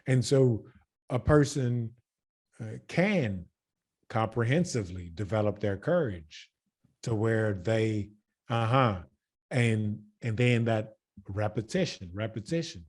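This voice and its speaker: Low-voiced American man, halting speech. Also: darkish tone. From Louisiana, USA. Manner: halting, with fillers and repeated words. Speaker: character coach, guest. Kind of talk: casual interview chat.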